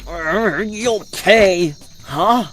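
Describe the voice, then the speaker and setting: A man voicing a vain, boastful young Russian officer in a comedic parody dub, excitable, indignant and stammering. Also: high-pitched, extremely slow, slight background noise, heavily acted. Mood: indignant bluster.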